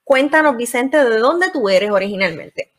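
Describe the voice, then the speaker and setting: Woman, podcast host, fairly high voice, effusive, warm interviewer. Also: animated, normal speed. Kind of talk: casual interview.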